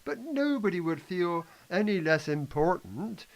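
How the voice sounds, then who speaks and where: A man's voice: high voice, sing-song, a little slow, creaky, full character voice. A man in an amateur play playing a confident, impatient economic reform advocate, lecturing emphatically and stumbling slightly over lines. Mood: earnest, explanatory. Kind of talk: amateur scripted play reading.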